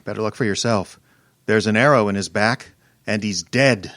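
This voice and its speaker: A man's voice: fairly low pitch, moderate pace, over loud music, natural voice. A man acting a brash, wisecracking detective's assistant who also narrates, in old-time radio mystery style. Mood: urgent. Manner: wry, colloquial, breezy.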